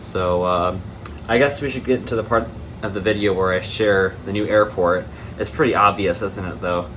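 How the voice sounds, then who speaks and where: A man's voice: on the low side, steady tone, moderate pace, a bit bright, slight background noise, low-quality recording. A man giving casual, rambling hobby commentary as the host of an unboxing video. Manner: rambling, tangent-prone.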